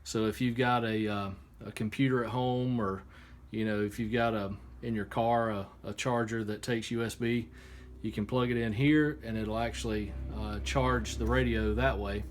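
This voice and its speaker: A man giving a casual, unscripted hands-on product demonstration, explaining step by step in a halting way. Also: lowish voice, fairly even intonation. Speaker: product reviewer. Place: indoor workshop. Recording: clear background noise, room ambience.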